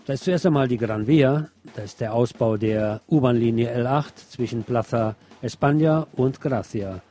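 A man's voice: medium pitch, moderate pace, dark tone, soft background music. A man presenting scripted news as a commentator, with a sardonic, ironic manner. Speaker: presenter of a local news programme.

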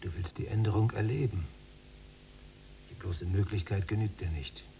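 An actor playing a bitter, sardonic younger prisoner in a radio play, with dry, biting muttered remarks. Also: male voice, fairly low pitch, moderate pace, vocal fry, warm tone, slight background noise. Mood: dry, skeptical.

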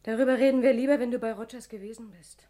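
A woman's voice: a little high, steady tone, fast talker, dark tone, close to an everyday voice. An actress playing a caring, fussing wife and mother in a 1960s German radio drama. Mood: concerned. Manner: solicitous, probing. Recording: echoey room, faint tape noise.

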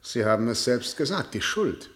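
An actor playing a sceptical senior prosecutor in a radio play, questioning in a matter-of-fact way. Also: male voice, mid-range voice, sing-song, moderate pace, a bit bright. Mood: probing. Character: sceptical, probing male senior public prosecutor. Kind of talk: German radio play dialogue.

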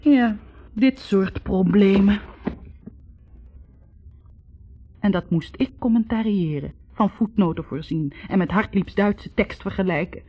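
A woman's voice: medium pitch, moderate pace, natural voice. An actress as a bookish, ironic young woman. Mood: wry, exasperated. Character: bookish, unsentimental young woman literature student. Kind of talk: 1960s radio play, reciting and commenting. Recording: muffled old radio recording.

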